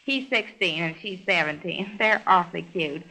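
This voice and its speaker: A woman's voice: fairly low pitch, normal speed, gravelly, bright, forceful tone, low-quality recording. An actress playing a chatty, scatterbrained small-town young woman, rambling and matter-of-fact, in 1930s radio comedy style. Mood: rambling, cheerful. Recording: vintage 1930s radio broadcast recording.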